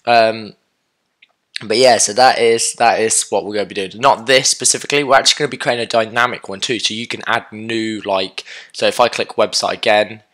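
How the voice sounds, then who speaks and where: A man's voice: lowish voice, steady tone, brisk, a bit bright. A young man with a sore throat and a fading voice, chatting casually through a coding tutorial with lots of fillers and self-corrections. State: sick, sore throat, losing his voice.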